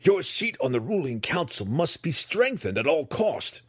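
Male narrator as elf priestess, stern, emphatic, formal, higher husky voice, fantasy audiobook. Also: very animated, moderate pace, a bit bright, no room sound, full character voice. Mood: insistent. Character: stern dark elf priestess, eldest daughter, insistent.

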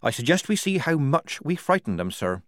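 A man voicing a level-headed, shrewd young woman soldier who talks calmly and reasons things out. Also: a little high, normal speed, no echo, studio quality, pitched above the performer's own voice. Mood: thoughtful. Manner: calm and reasoning.

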